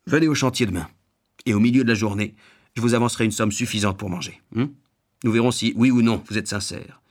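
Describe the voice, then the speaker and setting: A man voicing a gruff, self-righteous, well-off glutton in a storybook reading, scolding with loud emphasis. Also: lowish voice, fast, lightly acted. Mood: suspicious, blustering.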